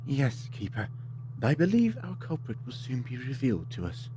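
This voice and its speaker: A man's voice: mid-range voice, very animated, moderate pace, close to an everyday voice. A man acting in an audio drama as a resolute, improvising time-travelling hero, by turns hesitant and decisive. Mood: confident. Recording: clear background noise.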